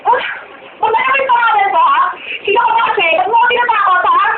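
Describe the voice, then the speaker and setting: A hounded young Filipino woman acted by a teenage girl in an amateur horror phone scene. Her voice is extremely high and quick, over a tinny, echoey line. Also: background noise. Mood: frightened. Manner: pleading, repetitive, agitated.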